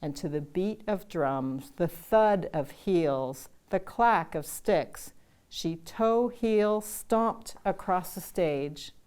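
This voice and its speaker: An older woman reading a children's picture book aloud, slow and measured, chanting the story's calls and repeated lines. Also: fairly low pitch, lively intonation, a little mellow, smooth. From Canada. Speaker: storytime reader.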